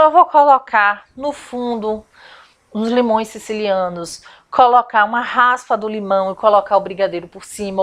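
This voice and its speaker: Woman, slightly high-pitched, chatty, step-by-step explainer, rhetorical questions. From Salvador, Brazil. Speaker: confectioner and business coach. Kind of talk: informal instructional video.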